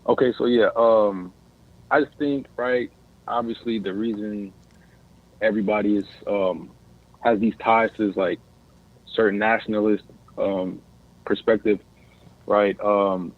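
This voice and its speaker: A man's voice: fairly low pitch, fairly even intonation, unhurried, long pauses. An American man calling in to a casual discussion, speaking hesitantly and searching for his words over a phone-like connection. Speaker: caller. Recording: tinny phone-like line.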